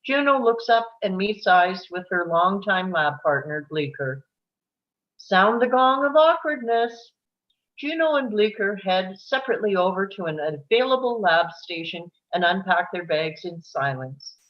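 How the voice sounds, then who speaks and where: A woman's voice: medium pitch, animated, measured pace, muffled recording. A woman reading stage directions as the narrator of a live screenplay table read.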